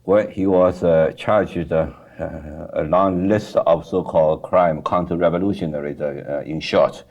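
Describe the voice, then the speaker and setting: An older man with a Chinese accent, answering interview questions in a halting way with many fillers. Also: low voice, fairly even intonation, darkish tone, highs cut off. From China. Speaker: guest.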